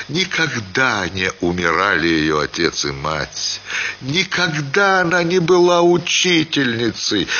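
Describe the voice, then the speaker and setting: A man giving an expressive, theatrical reading of a literary story as a radio actor. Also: on the high side, muffled recording, a little hiss or hum. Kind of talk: dramatic literary narration.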